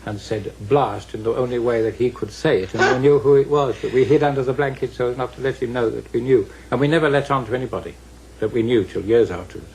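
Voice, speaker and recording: A man's voice: mid-range voice, fast, vocal fry, a little mellow, poor audio. An English man in his seventies reminiscing in an interview, conversational and a little rambling. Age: 70s. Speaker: interviewee recalling childhood. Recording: faint background noise.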